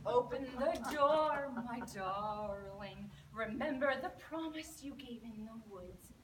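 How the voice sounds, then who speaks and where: A woman voicing a small green male frog who pleads in a croaky, sing-song voice. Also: fairly high pitch, expressive, slow, roomy sound, recorded from a distance, crowd noise. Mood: pleading sing-song.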